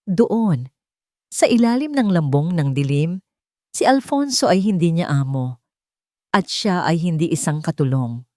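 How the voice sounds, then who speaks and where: A woman narrating a scripted dramatic romance story in measured, deliberate phrases with short pauses. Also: low voice, a little mellow, no room sound, studio quality.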